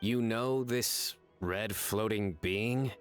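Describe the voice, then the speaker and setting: A man voicing a calm, earnest young adventurer in a video game, speaking in a measured, inquisitive way. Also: on the low side, music in the background. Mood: calm, curious.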